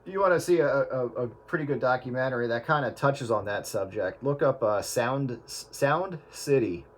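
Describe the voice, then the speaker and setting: A man's voice: mid-range voice, normal speed. Man, casual radio chat, anecdotal storyteller, faint background hiss.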